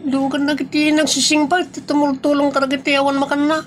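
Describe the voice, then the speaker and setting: A woman plays a chatty village neighbor with an Ilocano accent in a comedy audio drama. The voice is high, with background ambience around it. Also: level tone, a little fast, a little hiss or hum, natural voice. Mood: curious.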